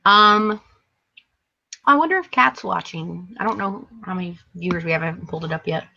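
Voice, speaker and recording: A woman's voice: on the low side, a little fast, vocal fry, bright, forceful tone. A tired woman who is losing her voice, co-hosting a casual live book chat in a rambling, hesitant, self-correcting way. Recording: dull lo-fi stream audio.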